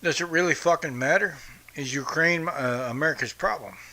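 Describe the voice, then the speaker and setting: A man's voice: fairly high pitch, normal speed, pushed and bright. Profane, combative American man ranting. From United States. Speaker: podcast host. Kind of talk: profane political rant. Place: home in Brazil.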